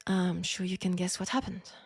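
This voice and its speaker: A woman with a French accent playing a proud, wry young Parisian seamstress in a scripted audio roleplay, speaking in a measured, pointed way. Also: fairly low pitch, completely flat delivery, creaky, husky, dry recording. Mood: somber. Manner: measured, pointed, sardonic, with sighs.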